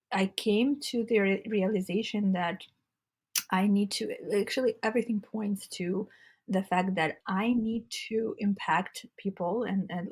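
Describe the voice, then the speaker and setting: A woman co-hosts a relaxed spiritual podcast in a husky, raspy voice that sounds congested in the throat. She talks earnestly and often circles back to restart her phrases. Her pitch sits in a middle range. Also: low-quality recording. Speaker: podcast co-host. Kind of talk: casual spiritual chat.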